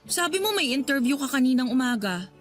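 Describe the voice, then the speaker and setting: A woman's voice: sits high, big pitch swings, fairly quick. An actress playing an exasperated, sulky young working girlfriend with a Filipino accent, huffy and nagging in a radio drama. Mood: questioning. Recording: background music under the voice.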